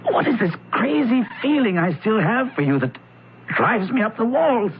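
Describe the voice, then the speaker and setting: An actor playing a wounded, reproachful stepbrother in a radio drama, speaking with emotional, accusing intensity. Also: male voice, high-pitched, sing-song, normal speed. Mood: anguished accusation. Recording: slightly muffled, hissy old radio broadcast.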